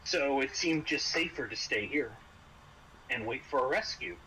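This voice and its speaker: A man narrating a tabletop roleplaying game as game master, halting and pausing mid-phrase. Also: mid-range voice, animated, sharp tone, low-quality recording. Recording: noticeable background noise.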